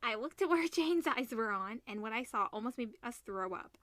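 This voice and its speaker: Bright-voiced woman reading a game story aloud. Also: on the high side, normal speed, no echo. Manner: expressive, switches between narration and character lines. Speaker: video game let's player reading aloud. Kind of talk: reading visual novel aloud with casual reactions.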